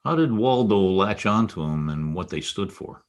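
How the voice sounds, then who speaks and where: A hard-boiled private eye played by a man in a vintage radio mystery. The voice sits low, and the lines come out wry and clipped, with a tough wisecracking edge. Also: expressive, moderate pace. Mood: probing, wry. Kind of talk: 1940s radio detective drama acting with narration.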